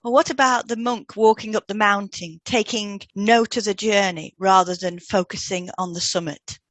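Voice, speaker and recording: A woman co-hosting a scripted podcast, reading out advice in a gentle, measured way as a therapist would. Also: mid-range voice, fairly even intonation, dry recording. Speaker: podcast co-host and hypnotherapist. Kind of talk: scripted podcast advice with example client questions read out.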